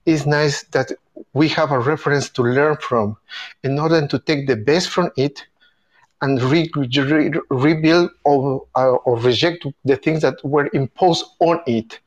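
A man's voice: fairly high pitch, lo-fi sound. A man from Argentina with an Argentinian accent, speaking English as a second language, enthusiastically hosting a casual chat about tango. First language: Spanish. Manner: enthusiastic, halting non-native phrasing with restarts. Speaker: tango event organizer and host. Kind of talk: casual group video chat.

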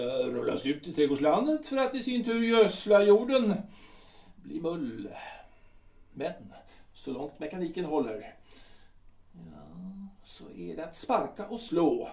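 An actor playing a boastful, domineering aging army captain who blusters and then drops to a mutter. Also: male voice, high-pitched, gravelly, recorded from a distance. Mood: scornful. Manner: blustering, swings between loud and muttered. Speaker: actor in an audio drama. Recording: echoey room, voice off-mic.

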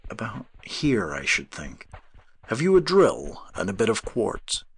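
A man voicing a tired, sad older professor in a story, speaking quietly, slowly and gravely. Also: lowish voice, huge pitch swings, exaggerated voice. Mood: musing.

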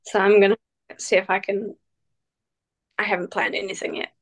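A woman, speaking as a guest on a casual interview. Her pitch sits mid-range. She answers in a relaxed but halting way, full of fillers and restarts. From New Zealand. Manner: hesitant, many fillers, relaxed. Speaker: guest, professional cyclist.

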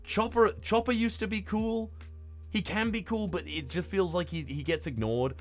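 A man co-hosting a podcast in casual, excitable chat about manga, swearing freely and talking emphatically with stammered restarts. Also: sits high, normal speed, faint music behind. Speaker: podcast co-host.

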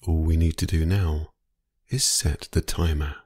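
Male sleep-meditation narrator, low-pitched and slightly husky, soothing and hypnotic, unhurried phrasing. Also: no echo, studio quality. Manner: calm, soothing, unhurried, with long pauses.

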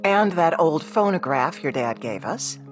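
An actress playing a patient, practical ex-wife in a radio play, with a natural, placating way of talking. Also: female voice, low voice, animated, a little slow, soft background music. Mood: nostalgic. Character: patient, practical career woman, an exasperated ex-wife.

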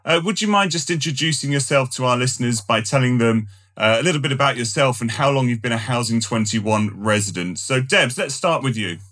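A man hosting a podcast, speaking in a measured, polite way with the odd uh. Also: mid-range voice, animated, fairly bright tone. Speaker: podcast host. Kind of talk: podcast hosting, scripted intro then casual chat.

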